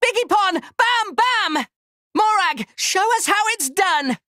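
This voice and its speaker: A man's voice: very high-pitched, expressive, slow, bright, forceful tone, dry recording, studio quality, heavily acted. A man voicing a small furry creature from a video game, eager, boastful and childlike, speaking in cutesy, exclamatory broken phrases. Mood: encouraging. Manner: cutesy broken grammar, third-person self-reference, exclamatory. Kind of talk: video game battle voice lines.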